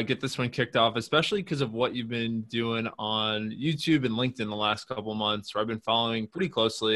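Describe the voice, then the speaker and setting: A man's voice: medium pitch, fairly bright tone. A man hosting a podcast, giving a tip and then asking questions in an upbeat, conversational way. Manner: enthusiastic, conversational, fillers. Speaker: podcast host. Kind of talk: podcast interviewing and tips.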